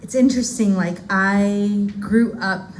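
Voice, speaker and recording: A woman's voice: medium pitch, measured pace, slight background noise, poor audio. A woman whose first language is Spanish, a poet casually answering audience questions at a bookstore reading, with lots of fillers. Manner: casual, chatty, many fillers and "like". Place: bookstore loft.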